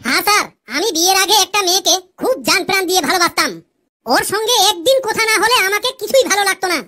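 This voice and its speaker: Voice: very high-pitched, fast talker, bright, forceful tone. A performer voicing a cheeky cartoon schoolkid who tells comic tales in an earnest, long-winded way. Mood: aggrieved, earnest. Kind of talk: cartoon comedy dialogue.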